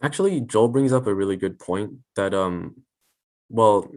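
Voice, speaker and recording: A man's voice: fairly low pitch, lively intonation, unhurried, a little mellow. A teenage boy, a student on a panel, speaking casually with hesitant stutters and restarts. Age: teens. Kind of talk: casual discussion.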